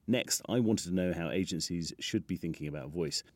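A man's voice: low voice, fairly quick. A man hosting a podcast, putting interview questions and narrating links between guests.

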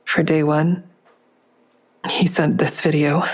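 A young woman amateur sleuth in a fiction podcast. Her voice sits a little low, and she sounds anxious yet determined, speaking in a natural, understated way. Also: flat delivery, takes it slowly. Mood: uneasy, defensive. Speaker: lead character and first-person narrator. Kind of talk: naturalistic audio drama acting.

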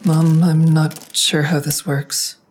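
An actress playing a hesitant, guilt-ridden grown daughter who narrates and confesses plainly in an audio drama. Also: female voice, low voice, level tone, takes it slowly, a little mellow, a little hiss or hum. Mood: subdued, reluctant. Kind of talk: audio drama acting with first-person narration.

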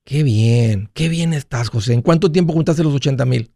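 A man's voice: mid-range voice, normal speed, warm tone, no echo, clean studio sound. A man hosting a call-in advice show, talking in a folksy, conversational way. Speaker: host of a personal finance call-in show.

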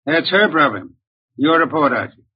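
A muffled vintage radio recording carries an imperious master detective. He is husky and fairly high for a man, issuing curt, pedantic demands in old-time mystery drama style. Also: expressive, moderate pace. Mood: curt, impatient. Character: brusque, imperious male genius detective boss.

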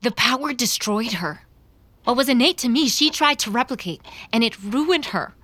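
A woman's voice: fairly high pitch, expressive, normal speed, pushed and bright, no room sound. A woman playing a defensive, self-justifying character confessing in an audio drama. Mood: defensive, intense. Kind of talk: audio fiction acting.

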